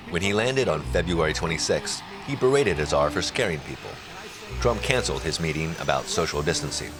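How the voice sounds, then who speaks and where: A man reading scripted narration for a news documentary. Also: deep voice.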